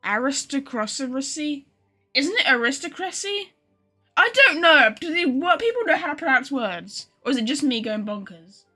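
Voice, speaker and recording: A woman livestreamer chats casually over a game in a high, bright voice. Her delivery is animated and swings widely in pitch, with incredulous asides. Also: normal speed. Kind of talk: casual game stream commentary. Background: faint game music.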